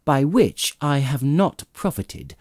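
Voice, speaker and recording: A man's voice: medium pitch, very animated, slow, a little mellow, dry recording, studio quality, exaggerated voice. A man voicing a cheerful, earnest young nephew with a hearty, pleading manner in an audiobook. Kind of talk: dramatic audiobook character reading.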